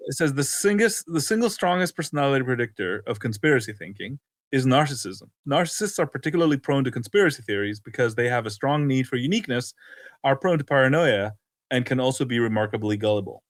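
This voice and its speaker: A man's voice: medium pitch, animated, fast talker, no echo, clean studio sound. A man co-hosting a casual, opinionated talk show, often breaking off and rephrasing as he goes. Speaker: podcast co-host.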